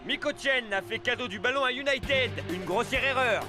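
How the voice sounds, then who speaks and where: An actor playing an excitable male football match commentator in a cartoon. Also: very high voice, normal speed, over music. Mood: brisk commentary.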